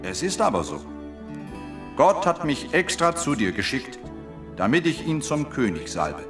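An actor playing a solemn, authoritative messenger of God in a Bible audio drama, firm and declarative. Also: male voice, a little high, sing-song, unhurried, over music.

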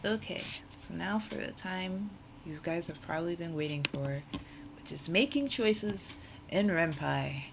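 A young adult woman giving a casual software tutorial, informally thinking aloud as she works. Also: on the low side, lively intonation, measured pace, vocal fry. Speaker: tutorial host. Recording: very noisy home screen recording.

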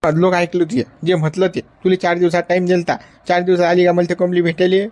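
A man's voice: sits high, monotone, a little fast, a little mellow, lightly acted. A man playing a whiny lovesick old man with a Varhadi accent. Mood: stubborn. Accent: Varhadi Marathi. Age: older. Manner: whiny, insistent pleading. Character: lovesick stubborn old man, grandfatherly and cranky. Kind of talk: comedy sketch acting.

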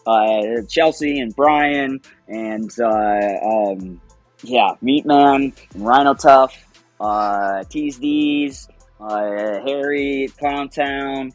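A man's voice: medium pitch, very slow, dry recording, faint music behind. A man in his thirties talking as an interview guest over a video call from home, rambling and upbeat, laughing often. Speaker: guest, project founder. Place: home, by a window. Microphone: webcam on video call.

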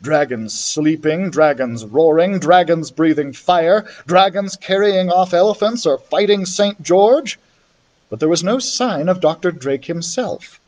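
A man's voice: fairly high pitch, expressive, unhurried, a little mellow. A man reading a children's story aloud expressively, as a library storyteller would.

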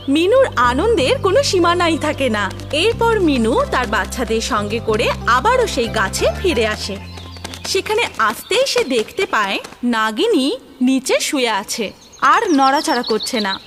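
Animated, high-voiced woman storyteller. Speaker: storyteller, narrator. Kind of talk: scripted children's fairy-tale narration. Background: music.

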